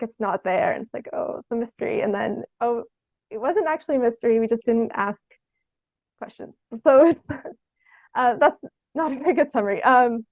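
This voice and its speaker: Higher-voiced young woman, muffled phone-like line. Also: dry recording. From Canada. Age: young adult. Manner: halting, tentative, thinks aloud with frequent "like". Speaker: guest theatre artist. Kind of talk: casual interview conversation.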